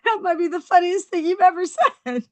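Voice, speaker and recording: An actress playing a hurt, stubborn, sardonic New York housewife at a table read, with emphatic, sarcastic delivery. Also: female voice, very high voice, normal speed. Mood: tearful, sarcastic. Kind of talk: table read of a TV comedy-drama script.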